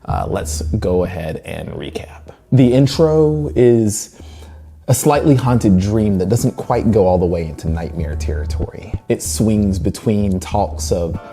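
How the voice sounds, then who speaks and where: Male presenter for a story review, low and mellow, chatty and wry, soft music underneath. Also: lively intonation, unhurried, creaky, breathy edge. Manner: conversational, wry asides. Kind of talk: semi-scripted literary analysis narration.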